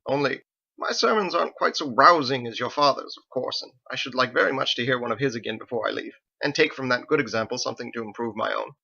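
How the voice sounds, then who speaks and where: A man's voice: mid-range voice, fast talker, gravelly, sharp tone, lo-fi sound. A man voicing a pompous, verbose, prim young paladin churchman in an audiobook, with florid, fussy, over-formal diction. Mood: humbly proud. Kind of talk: audiobook character voice.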